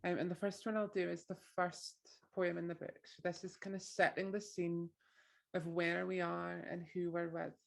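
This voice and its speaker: Orkney-accented woman poet, low gravelly voice, hesitant reflective delivery. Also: flat delivery. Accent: Orkney Scots. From Orkney, Scotland. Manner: thoughtful, halting, many fillers and restarts. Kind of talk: poetry reading with introduction.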